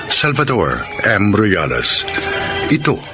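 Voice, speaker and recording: Animated Filipino man narrating over music. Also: lowish voice, slow. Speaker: narrator and announcer of a drama show. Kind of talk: scripted dramatic narration, reading credits.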